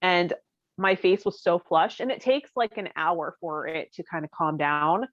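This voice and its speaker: A woman's voice: fairly low pitch, lively intonation, a little slow, dry recording, highs cut off. A woman co-hosting a podcast in casual comedic chat, with a giggly, self-deprecating manner. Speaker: podcast co-host.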